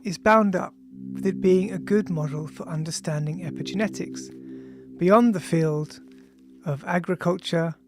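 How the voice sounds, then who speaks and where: Audiobook author, androgynous mid-pitched voice, measured science reading, clear music bed underneath. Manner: measured, reads punctuation aloud. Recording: music behind the voice. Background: ambient music with bell-like tones.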